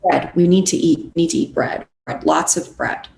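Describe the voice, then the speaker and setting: Woman acting exasperated friend, low voice, echoey room. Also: moderate pace, creaky, darkish tone. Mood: exasperated, rattled. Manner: sardonic, impatient. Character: exasperated, blunt, sarcastic young best friend, a woman. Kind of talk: script table read. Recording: echoey room with faint noise.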